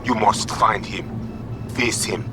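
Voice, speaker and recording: An actor playing a stern hacker gang lieutenant in a video game, with a Haitian accent and clipped, commanding speech. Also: male voice, on the low side, steady tone, takes it slowly, heavy background noise. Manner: clipped, commanding orders in broken English. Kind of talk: video game dramatic acting.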